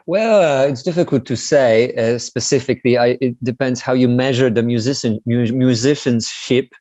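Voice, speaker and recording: A man from Poland, chatting as a guest in an interview in a relaxed, self-deprecating way with many fillers. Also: mid-range voice, normal speed, no echo. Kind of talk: casual interview chat. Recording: dry, slightly dull sound.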